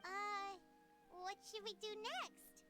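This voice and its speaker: Tiny, very high-pitched childlike creature. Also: female voice, slow pace, over music. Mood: curious, hesitant. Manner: earnest, innocent. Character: small, sweet, earnest female childlike fantasy creature. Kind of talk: video game voice acting.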